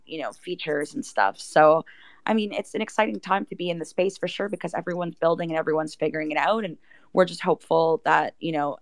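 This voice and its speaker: Lowish-voiced woman explaining casually. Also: dry recording. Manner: conversational, peppered with "like" and "you know". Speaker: interview guest presenting an NFT project.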